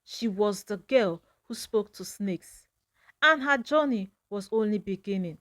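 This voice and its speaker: A woman storyteller narrating a scripted folktale in a dramatic, measured manner. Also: medium pitch, animated, fairly bright tone, no echo, clean studio sound.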